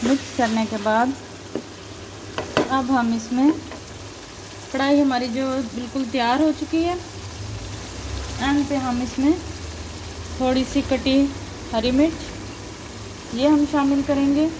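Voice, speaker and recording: A woman, calmly explaining each cooking step as a recipe voice-over. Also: sits high, slow, long pauses, noisy background. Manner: calm, instructive, points things out to viewer. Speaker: cooking channel host. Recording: loud frying sizzle behind voice.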